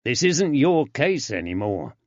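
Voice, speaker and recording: Male reader playing a patient, shrewd detective, measured and even; storybook, dry close sound. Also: mid-range voice, dry recording. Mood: calm. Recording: dull lo-fi, dry.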